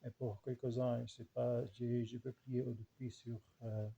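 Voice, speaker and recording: Guy with arabic accent, native arabic speaker, really soft dark tone, creaky, keeps stumbling and repeating words while talking off the cuff. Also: medium pitch, flat delivery, muffled recording. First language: Arabic dialect. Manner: halting, searching for words, much repetition. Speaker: language researcher and writer. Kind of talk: informal spoken reflection.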